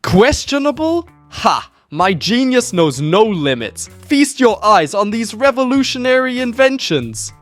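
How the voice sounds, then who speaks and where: Puppet mad inventor: high, swooping, boastful and theatrical, for kids' comedy. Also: male voice, sing-song, measured pace, few pauses, soft background music, heavily acted. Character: grandiose eccentric mad-scientist puppet inventor, proud of absurd gadgets. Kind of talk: children's puppet comedy show.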